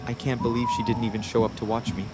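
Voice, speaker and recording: A man's voice: mid-range voice, little pitch movement, quick pace, natural voice. A man acting a grumbling, reluctant amateur singer in an audio drama. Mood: disgruntled. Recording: loud music over voice.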